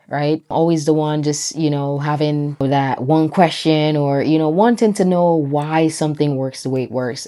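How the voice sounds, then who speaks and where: A woman's voice: sits low, warm tone. A young woman with a Jamaican accent, a brand founder chatting reflectively to camera, full of "you know" and "right?". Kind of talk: casual talk to camera.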